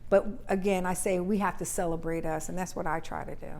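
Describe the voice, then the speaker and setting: A woman from New Jersey with a raspy voice, talking earnestly as a guest about her life in public service. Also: lowish voice, fairly quick. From Trenton, New Jersey, US. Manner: earnest, heartfelt, sometimes animated. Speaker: state assemblywoman, podcast guest. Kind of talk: casual interview storytelling.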